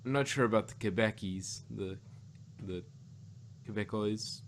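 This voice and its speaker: A man's voice: fairly low pitch, measured pace, a little hiss or hum. A man co-hosting a casual review podcast, rambling and often rephrasing as he goes. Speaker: podcast co-host reviewing audio drama. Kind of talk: casual chat.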